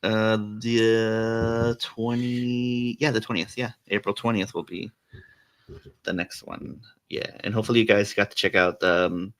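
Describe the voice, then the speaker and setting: A man's voice: fairly low pitch, flat delivery, unhurried, a bit bright. A man hosting a casual podcast, rambling through a TV episode with lots of fillers and restarts. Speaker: podcast host. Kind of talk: casual chat about a TV episode.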